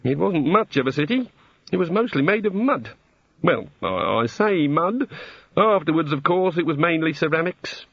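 A man's voice: a little high, very animated, moderate pace, creaky, slightly rough, no room sound, highs cut off, voice pushed up, heavily acted. A man voicing a fretful, testy old god who is eagerly curious and apologises in a hesitant, flustered ramble for an audiobook story. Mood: wretched, rambling.

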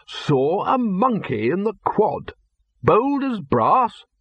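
A man reading an audiobook voices a gruff, blunt old male wizard chief who barks short phrases. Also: high-pitched, big pitch swings, slow, no echo, lo-fi sound, pitched above the performer's own voice, full character voice. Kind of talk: audiobook character voice.

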